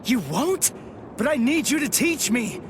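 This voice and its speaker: An actor playing an earnest, hot-headed teenage sword fighter in an anime game, speaking with intense emphasis. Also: male voice, extremely high pitch, animated, unhurried, background noise. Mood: pleading, demanding. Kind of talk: English anime game voice acting.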